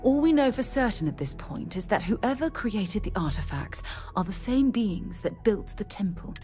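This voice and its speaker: An actress playing a formal, precise female scientist who is fascinated by the unknown and speaks in measured tones, with faint game music behind. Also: mid-range voice, very animated, warm tone, natural voice. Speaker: scientist companion. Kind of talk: video game voice acting.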